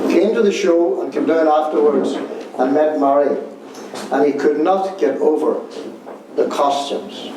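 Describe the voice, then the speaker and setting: Male director addressing his team: higher-pitched voice, earnest and emphatic, heavily echoing room. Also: dark tone. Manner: earnest, emphatic, repeats key points. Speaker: pantomime director addressing the cast. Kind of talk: informal motivational speech to a group. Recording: very echoey room, distant mic, noisy.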